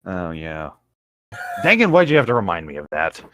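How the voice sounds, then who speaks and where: Animated man reading and riffing, dry sound. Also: low voice, normal speed, no echo. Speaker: co-host of a fan fiction reading show. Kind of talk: casual riffing and read-aloud narration.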